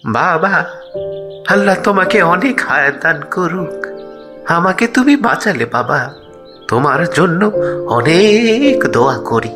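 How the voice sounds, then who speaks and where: A woman's voice: lowish voice, big pitch swings, measured pace, pauses often, over music. A woman playing a poor, ailing, grateful mother in a cartoon fable, with a plaintive, pleading delivery. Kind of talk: cartoon voice acting.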